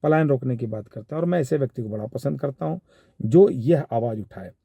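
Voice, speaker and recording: Mellow, dark-voiced Bihari man commenting. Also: mid-range voice, lively intonation, fairly quick, gravelly, husky, no echo. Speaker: commentator giving his analysis of a viral call. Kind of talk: informal spoken commentary.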